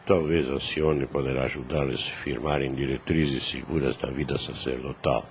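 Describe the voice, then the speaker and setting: Man acting as a deep-voiced, solemn older priest. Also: fairly even intonation. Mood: warm, pleased. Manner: solemn, measured, formal. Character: grave, kindly older priest uncle, fatherly and concerned. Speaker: voice actor. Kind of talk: audio drama acting. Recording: clear background noise.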